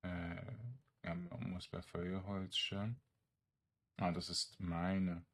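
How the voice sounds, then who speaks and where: A man giving casual, halting game commentary, rambling as he thinks aloud. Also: sits low, level tone, a bit bright. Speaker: let's player. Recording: game music behind the voice. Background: video game music.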